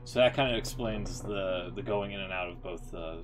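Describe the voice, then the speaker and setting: A male game streamer with a fairly low voice. He reads aloud and comments casually, musing in an offhand, sweary manner. Music with guitar is clearly audible behind him. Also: normal speed, low-quality recording.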